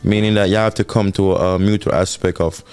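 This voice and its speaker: A man's voice: low-pitched, steady tone, brisk, darkish tone, smooth, soft background music. A man from Jamaica with a Jamaican accent, co-hosting a couple's podcast and reflecting earnestly on relationships. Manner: earnest, reflective, repeats himself for emphasis. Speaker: podcast co-host. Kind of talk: casual couple podcast talk.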